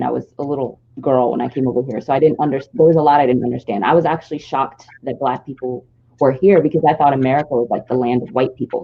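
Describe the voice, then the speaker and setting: A woman with a notably low, deep voice tells her story in a casual podcast chat. She is earnest and reflective, letting long thoughts run on. The recording is dull and muffled. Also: husky. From Nigeria. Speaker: podcast co-host.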